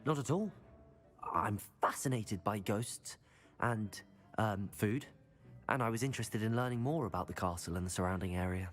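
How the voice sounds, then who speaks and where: A man's voice: lowish voice, moderate pace, faint music behind, lightly acted. Man acting a composed young male wizard student. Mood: polite. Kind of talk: video game cutscene acting.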